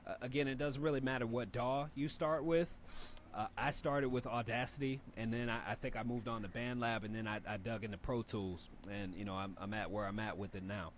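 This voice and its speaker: A laid-back man with a deep voice talks through mixing advice for a rap review stream, rambling and peppered with fillers and swearing. His pitch sits mid-range for a man. A faint hum of room noise runs under him. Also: fairly even intonation, brisk. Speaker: rap review show host and engineer. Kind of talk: casual spoken feedback and mixing tips.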